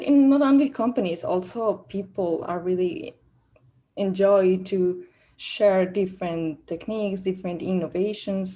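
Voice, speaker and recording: A woman's voice: on the low side, dark tone. Hesitant Peruvian woman in a mock interview. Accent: Peruvian Spanish. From Peru. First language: Spanish. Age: young adult. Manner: hesitant, many fillers and restarts. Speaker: job candidate. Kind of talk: practice job interview.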